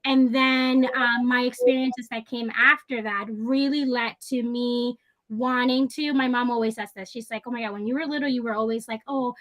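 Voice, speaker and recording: A woman's voice: on the high side, fairly even intonation, moderate pace, a bit bright, low-quality recording. A woman in her thirties, raised in the Dominican Republic and New York, a native Spanish speaker, talking casually and enthusiastically as a guest social worker, with many fillers and restarts. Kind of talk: casual interview talk.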